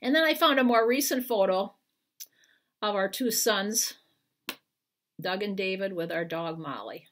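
Expressive older American woman with lively pitch. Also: unhurried, pauses often, a little hiss or hum. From Iowa, United States. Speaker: children's book author. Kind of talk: picture book read-aloud and informal talk to viewers. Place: living room at home, indoors. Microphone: webcam at arm's length.

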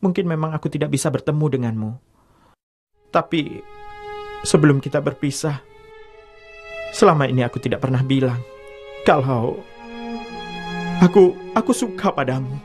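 A man voicing a shy, sincere teenage boy with a halting, hesitant way of talking in a cartoon dub. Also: a little high, soft background music, natural voice. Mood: wistful.

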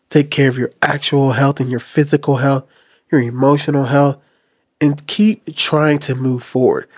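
A man's voice: mid-range voice, a little mellow, dry recording, studio quality. A man in his thirties hosting a reflective commentary, talking earnestly to the camera from a small room. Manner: earnest, advice-giving. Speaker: host. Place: small decorated room indoors. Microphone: desk mic in front.

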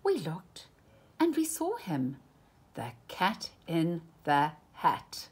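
A woman reading a children's story aloud expressively, with dramatic pauses and emphasis. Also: lowish voice, wildly swooping pitch, darkish tone, husky. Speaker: storybook reader.

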